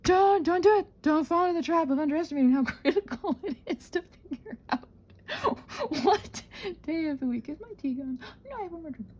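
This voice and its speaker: Female, soft mellow tone with a slightly husky edge, highly varied intonation, playful rambling chat, self-deprecating. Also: a little fast. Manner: expressive, acting out her own thoughts. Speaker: ASMR and audio content creator.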